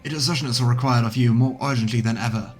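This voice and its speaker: A man's voice: mid-range voice, fairly even intonation, fast, soft background music, lightly acted. A man voicing a serious military captain in a game, giving a formal briefing to a commander. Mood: serious, urgent.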